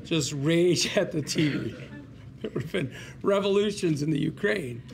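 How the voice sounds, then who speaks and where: A man with a gravelly voice making casual, joking remarks to a group. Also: on the high side, expressive, moderate pace. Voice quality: gravelly, creaky. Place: room with a group at a table read. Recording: clear room noise.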